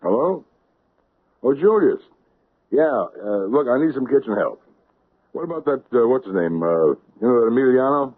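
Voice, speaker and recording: An actor playing a pushy, self-serving business owner in a 1970s radio drama. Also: male voice, mid-range voice, lively intonation, normal speed, long pauses, lightly acted. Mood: scheming. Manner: pushy, wheedling. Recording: tinny old radio broadcast.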